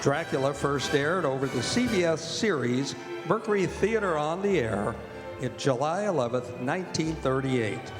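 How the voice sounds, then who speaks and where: A man's voice: on the high side, animated, normal speed. A man announcing in old-time radio style from a stage, reading program introductions and sponsor copy. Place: performing arts center stage. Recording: reverberant hall, live stage, music behind.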